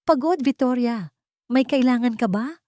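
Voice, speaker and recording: A woman's voice: fairly high pitch, moderate pace, darkish tone, no room sound, clean studio sound, pitched above the performer's own voice, lightly acted. Woman narrator as weary, curt widowed businessman. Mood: weary, irritated. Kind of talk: dramatic audio storytelling.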